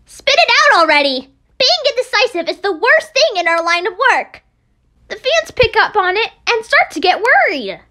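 A woman's voice: extremely high pitch, very animated, normal speed, bright, forceful tone, heavily acted. A woman voicing a brash, hot-tempered teenage girl in an anime game, blunt and scolding. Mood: demanding. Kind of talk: amateur anime game voice acting.

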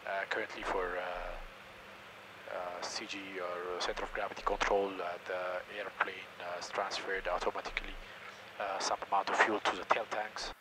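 Hesitant pilot over engine hum. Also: male voice, low-pitched, normal speed. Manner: hesitant, many uh fillers, technical explanations. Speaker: airline first officer. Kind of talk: explaining the cockpit to camera. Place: airliner cockpit in flight. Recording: steady engine noise behind.

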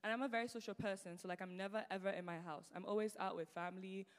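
A woman's voice: medium pitch, fairly even intonation, quick pace. A teenage student girl from Nigeria with a British-tinged Nigerian accent, chatting casually on a church stage into a handheld mic, full of "like" fillers. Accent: British-tinged Nigerian English. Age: teens. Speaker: student guest. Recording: long reverb of a large hall.

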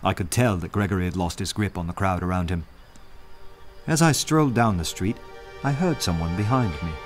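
An actor playing a witty, self-assured young poet in a radio play, courteous, dry and eloquent. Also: male voice, low voice, brisk, faint music behind, lightly acted. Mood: reflective narration. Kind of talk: full-cast radio play acting with first-person narration.

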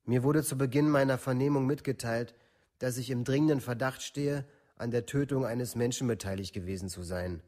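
A man's voice: medium pitch, moderate pace, darkish tone, natural voice. Radio drama: young male suspect played by a man, terse, detached statement, mid-range voice. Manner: terse, detached, formal recitation. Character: teenage male murder suspect giving flat police statement.

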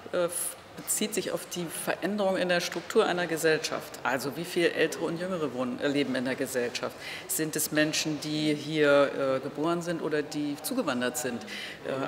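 Low-voiced woman in an echoey hall. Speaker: city project manager. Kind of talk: interview explanation. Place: wood-panelled meeting room. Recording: echoey hall, faint room noise.

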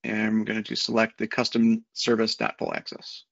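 A man in his thirties walking through a software setup on a screen share, talking casually step by step with fillers, recorded on a desk mic at home. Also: fairly low pitch, very animated, a little fast, creaky, dry recording. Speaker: software consultant. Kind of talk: screen-share tutorial walkthrough. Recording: dull lo-fi dry sound.

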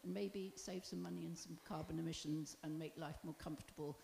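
Gravelly older woman speaking in a hall. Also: sits low, steady tone, a little fast, warm tone. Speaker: member of the public. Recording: echoey hall, faint hiss.

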